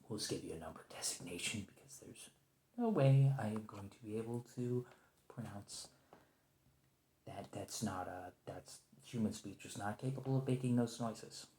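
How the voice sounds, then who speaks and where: A man playing a nervous, polite, conciliatory field agent in an ASMR roleplay, speaking in a halting, hesitant way. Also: lowish voice, mellow, a little hiss or hum, natural voice. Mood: puzzled. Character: nervous, conciliatory male agent of a secret organization negotiating with a creature. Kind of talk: ASMR roleplay, improvised one-sided conversation. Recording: roomy, echoing lo-fi sound.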